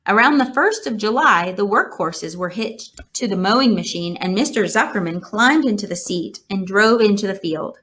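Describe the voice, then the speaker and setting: Female storybook reader, mid-pitched, measured and expressive, narrating a children's novel. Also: slightly rough.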